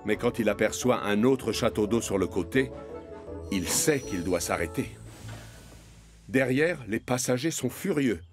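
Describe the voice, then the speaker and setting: Animated male children's cartoon narrator. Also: fairly low pitch, measured pace. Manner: expressive storyteller delivery. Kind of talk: scripted storytelling for a children's cartoon. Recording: music behind the voice.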